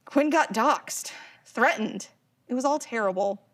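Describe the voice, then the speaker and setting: A woman's voice: on the high side, animated, unhurried. A woman playing a geeky, chatty modern character in a live audio drama, explaining things in a slangy, casual way. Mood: guarded, upset.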